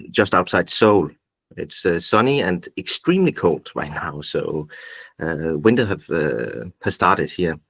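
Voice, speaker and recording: A low-voiced man with a Danish accent presents informally over a video call. His pitch moves around a lot as he talks haltingly with frequent pauses, and the sound is dry, without room echo. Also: a bit bright. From Denmark. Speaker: invited speaker, activist group founder. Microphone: video call microphone.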